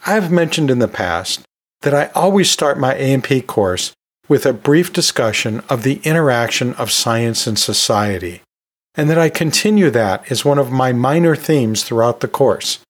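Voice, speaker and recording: Man hosting with very animated, swooping intonation. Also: mid-range voice, normal speed. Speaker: podcast host and anatomy and physiology teacher. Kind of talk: solo educational podcast advice talk.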